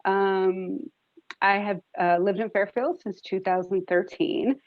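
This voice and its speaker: A woman's voice: medium pitch, fairly even intonation, slow, no room sound. A middle-aged American woman introducing herself informally as a task force member on a video call with muffled audio. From Rhode Island, United States. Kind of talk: informal self-introduction in a meeting.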